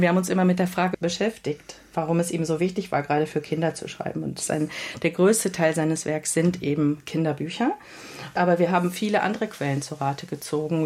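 A woman author answering interview questions at a measured pace, often pausing to search for words. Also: sits low, a little mellow. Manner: measured, with frequent hesitations. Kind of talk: thoughtful radio interview answers.

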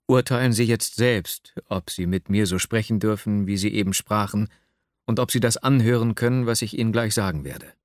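A man's voice: fairly low pitch, normal speed, no room sound, clean studio sound, natural voice. A man playing an earnest, lovesick young man in an audiobook, agitated, self-doubting and urgent. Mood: resigned, earnest. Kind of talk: dramatic literary audiobook reading.

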